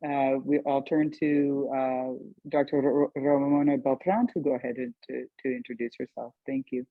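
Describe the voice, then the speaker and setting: A man moderating a panel over a video call, introducing guests with many halting fillers. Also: mid-range voice, steady tone, no room sound. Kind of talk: hesitant spoken introduction. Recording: tinny phone-like video call audio.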